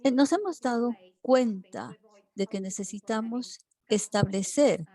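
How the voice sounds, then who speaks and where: A woman working as a simultaneous interpreter for an online seminar. She keeps a steady delivery, broken by frequent hesitation fillers. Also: on the high side, unhurried. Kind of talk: live interpretation for a webinar.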